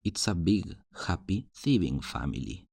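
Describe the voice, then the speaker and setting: A man playing a charming, roguish fantasy thief in an ASMR roleplay, flirtatious, teasing and theatrical in his smooth talk. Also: deep voice, very animated, unhurried, darkish tone, no room sound, studio quality, full character voice. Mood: flirtatious coaxing. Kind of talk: ASMR roleplay, scripted character acting.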